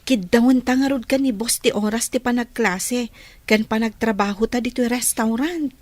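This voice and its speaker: A woman's voice: on the high side, moderate pace, a little mellow, dry recording, lightly acted. A woman with an Ilocano accent playing a practical, cautious young working student in a radio drama. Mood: practical, hopeful.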